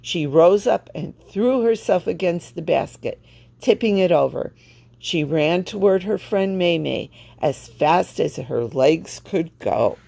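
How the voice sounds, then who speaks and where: A woman reading a children's storybook aloud with expressive, deliberate phrasing. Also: fairly low pitch, gravelly. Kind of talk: read-aloud storybook narration.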